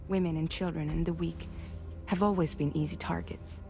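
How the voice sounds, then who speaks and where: A woman playing a protective, compassionate and quietly firm team leader in a video game cutscene, measured and earnest. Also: deep voice, fairly even intonation, soft background music. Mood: concerned, earnest.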